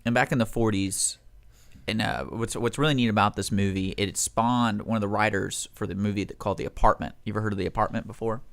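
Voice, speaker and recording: A man's voice: fairly low pitch, fairly even intonation, fast talker, creaky. A man hosting a radio show, chatting casually in a rambling, teasing way. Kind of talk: casual chat. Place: radio studio.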